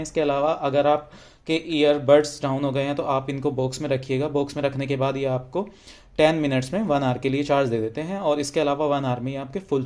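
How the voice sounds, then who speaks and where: A man's voice: medium pitch, a little mellow. An Indian man giving a casual, explanatory product review, mixing English phrases into his speech. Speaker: gadget reviewer.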